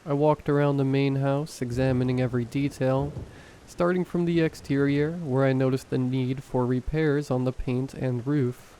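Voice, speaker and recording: A man narrating horror stories in a calm, measured storytelling voice. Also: mid-range voice, slight background noise, low-quality recording. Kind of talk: scripted narration.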